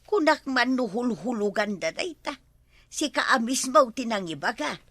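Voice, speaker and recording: An Ilocano woman acting a disapproving neighbor in a comedy drama. Her voice sits fairly high and sounds dry and close. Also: animated, measured pace, fairly bright tone, dry recording. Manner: scolding, disapproving.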